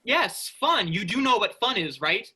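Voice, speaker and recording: A man's voice: high voice, sing-song, unhurried, pushed and bright, slightly rough, exaggerated voice. A man playing a restless, sarcastic space freighter worker, informal and exasperated, in a staged reading. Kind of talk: virtual staged reading of a sci-fi play.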